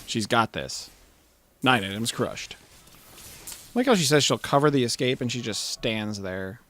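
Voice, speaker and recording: A man's voice: medium pitch, sing-song, measured pace, fairly bright tone. A man co-commentating on a video game in a casual, jokey, bantering way.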